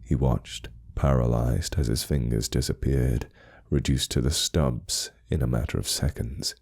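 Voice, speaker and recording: A man's voice: rumbling low, steady tone, a little slow, creaky, a little mellow, breathy edge. A man narrating a horror story in a dramatic, scripted storytelling style.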